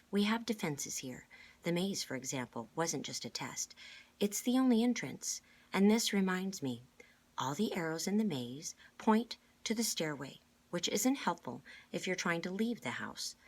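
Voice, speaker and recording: Storybook guardian: reader as a gentle, courteous young woman, slightly husky, measured and reassuring. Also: on the low side, pitched above the performer's own voice.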